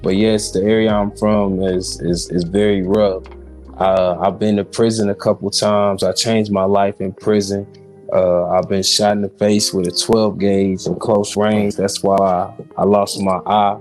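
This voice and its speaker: A man's voice: on the low side, little pitch movement, unhurried, a little mellow, faint music behind. An American man in his forties from Kansas City, Missouri, giving personal testimony as a podcast guest. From Kansas City, Missouri, United States. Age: 40s.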